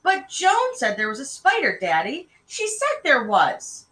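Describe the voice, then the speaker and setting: A woman's voice: high voice, wildly swooping pitch, measured pace, bright, forceful tone, voice pushed up, heavily acted. A woman voicing a panicky, quarrelsome young girl in a children's storybook, squealing and whining urgently. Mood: insistent. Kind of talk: children's storybook read aloud.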